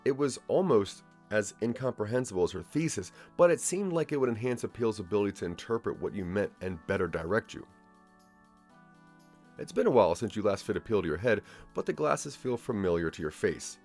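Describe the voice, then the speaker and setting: A man's voice: on the low side, expressive, brisk, darkish tone, soft background music. A man narrating a scripted audio drama in the second person, as a storyteller addressing the listener.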